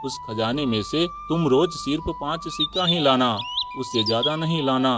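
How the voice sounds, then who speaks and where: A man's voice: mid-range voice, normal speed, over music, lightly acted. A man voicing a kindly, wise priest in a moral fable, fatherly and reassuring. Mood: pleased, instructive.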